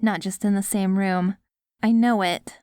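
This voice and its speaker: A woman playing an affectionate post-apocalyptic wife in an ASMR audio roleplay, coaxing and gently praising her ghoul husband. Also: medium pitch, steady tone, measured pace, clean tone, no echo, studio quality, close to an everyday voice. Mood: tender, wistful.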